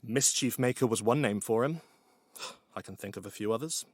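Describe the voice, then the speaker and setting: A man's voice: medium pitch, moderate pace, no echo. A man narrating a scripted story with wry, dry humour.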